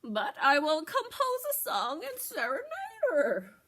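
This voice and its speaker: A woman's voice: high-pitched, huge pitch swings, measured pace, a bit bright, voice pushed up, heavily acted. A woman voicing an eager, devoted male goat in a children's storybook, sweet, proud and emphatic. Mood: thoughtful, hopeful. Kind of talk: children's storybook read-aloud.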